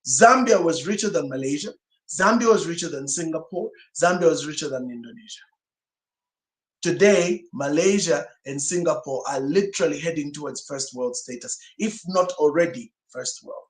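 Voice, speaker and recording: A man's voice: a little high, moderate pace. A male pastor whose first language is Swahili, giving an emphatic sermon-style teaching talk full of rhetorical questions. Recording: roomy echo.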